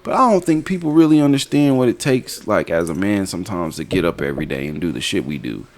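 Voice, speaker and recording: Man, deep voice, casual podcast storyteller. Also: very animated, fast. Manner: storytelling with laughs, Southern US slang. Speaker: podcast co-host.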